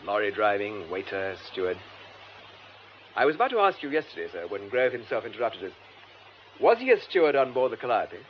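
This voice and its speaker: A man's voice: mid-range voice, close to an everyday voice. Male actor as composed English detective superintendent, radio drama. Formal, methodical, varied intonation, slightly noisy recording. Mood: probing, composed. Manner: measured, formal, precise delivery. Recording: muffled lo-fi radio drama, faint noise.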